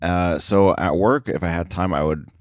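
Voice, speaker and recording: Male interview guest, low register, loose conversational shop talk that stops and restarts, dry recording. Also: dry recording. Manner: halting, filler-heavy, circling back to rephrase. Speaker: interview guest, sound effects editor.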